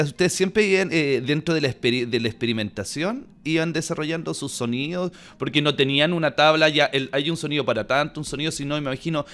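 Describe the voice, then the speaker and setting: A man with a Chilean accent hosting a casual interview, attentive and quick with short acknowledgements. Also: a little high, a bit bright. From Valparaíso, Chile. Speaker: interview host.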